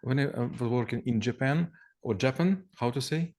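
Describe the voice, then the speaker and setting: A man's voice: mid-range voice, normal speed, darkish tone. A middle-aged man, answering interview questions in English. He often halts to search for words, restarting phrases and filling gaps with ums. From former Soviet Union. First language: Russian. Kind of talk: casual conversation in a second language.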